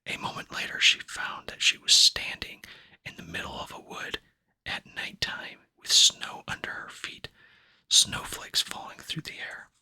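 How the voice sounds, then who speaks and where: Male ASMR reader for children's fantasy: fully whispered, bright airy hiss, gentle unhurried phrasing, close mic. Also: no room sound. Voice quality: breathy unvoiced whisper. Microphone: close microphone.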